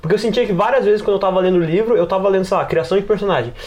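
Casting: Brazilian man, higher-pitched, rapid and informal, rambling podcast discussion full of fillers. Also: darkish tone. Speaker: podcast panelist. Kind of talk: casual podcast discussion of tabletop games.

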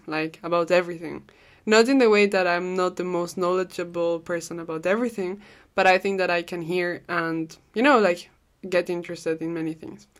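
A young woman in her twenties with a Peruvian Spanish accent, hosting a podcast in a chatty, rambling way, laughing often and swearing casually. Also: fairly low pitch. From Peru. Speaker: podcast host. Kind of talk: casual solo podcast monologue.

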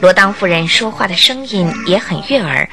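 A woman narrating a radio drama as an expressive storyteller with scripted, dramatic delivery. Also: medium pitch, moderate pace, vocal fry. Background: background music.

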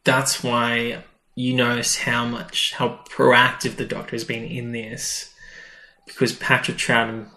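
A man's voice: medium pitch, takes it slowly. Man chatting in a roomy echo. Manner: animated retelling, acting out quoted reactions. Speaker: podcast co-host. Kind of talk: casual episode recap chat.

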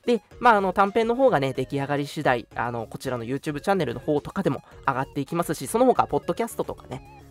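A man's voice: on the high side, very animated, fast talker, soft background music. A young man hosting an online radio show, making announcements in a polite, earnest, conversational way. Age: young adult.

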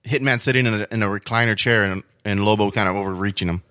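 A man's voice: lowish voice, steady tone, fast, fairly bright tone, dry recording, studio quality. A man chatting informally about comics as a podcast guest, in a rambling, enthusiastic fan's way. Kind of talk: casual chat about comics.

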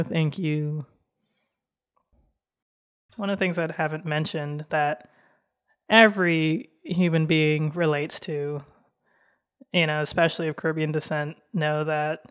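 A young adult woman hosting a podcast, talking slowly in a fairly monotone voice in a casual personal monologue. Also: low-pitched. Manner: slow, self-described monotone delivery with frequent laughs. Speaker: podcast host.

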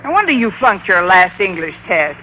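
A woman playing a sardonic high school English teacher in an old radio comedy, with a dry, wisecracking, crisp delivery. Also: sing-song, normal speed, highs cut off, natural voice. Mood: sarcastic. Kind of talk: 1940s-50s radio comedy acting and narration. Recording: noisy old-time radio recording.

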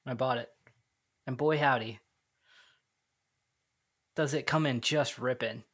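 A man's voice: medium pitch, unhurried, a bit bright. A man co-hosting a music podcast, playfully telling anecdotes with quoted asides. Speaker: podcast co-host. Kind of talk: casual chat about music.

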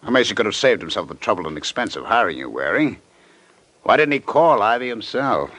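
An actor playing a slick, brazen fight promoter in an old radio drama, smooth-talking and insistent, with a noisy recording. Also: male voice, fairly low pitch, sing-song, brisk, fairly bright tone, full character voice. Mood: guarded, curt. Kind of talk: 1950s radio detective drama acting.

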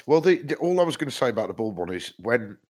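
A man's voice: mid-range voice, very animated, fast, darkish tone. A man co-hosting a podcast, chatting casually about music, a bit stuffed up from hay fever and rambling with lots of restarts. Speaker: podcast co-host.

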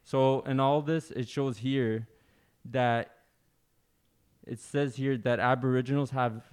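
A man on a podcast reading an article aloud, careful and halting, with fillers. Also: mid-range voice, fairly even intonation, a little mellow, clean tone. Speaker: podcast co-host.

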